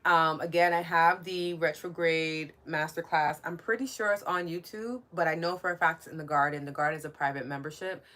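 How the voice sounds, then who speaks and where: New York woman, a bit low, casual live podcast host thanking listeners. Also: steady tone, a little fast, few pauses. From New York City. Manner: warm, chatty, reads out listener comments and thanks them. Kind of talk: live-stream spiritual podcast chat.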